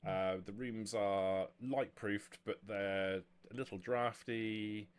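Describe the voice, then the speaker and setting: A man narrating and explaining a tabletop roleplaying game as game master, halting and often rephrasing as he goes. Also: lowish voice, fairly even intonation, smooth.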